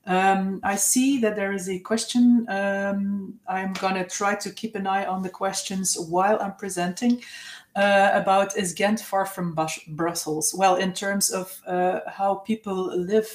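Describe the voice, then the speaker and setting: A Belgian woman, Dutch her first language, giving an online university presentation in English with a Flemish accent, pausing often on filler sounds. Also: mid-range voice, fairly even intonation. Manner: hesitant, many filler uhs. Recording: echoey room.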